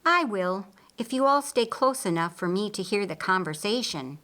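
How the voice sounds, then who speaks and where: A woman's voice: medium pitch, expressive, normal speed, pushed and bright. A woman voicing a practical, wry older sister in an audiobook Bible story, matter-of-fact and a little grumbling. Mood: agreeable.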